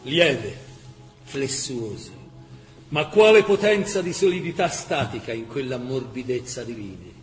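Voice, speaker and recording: A man's voice: medium pitch, sing-song, a little slow, soft background music. A man narrating a scripted radio drama in an impassioned, emphatic, literary way. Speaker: actor narrator.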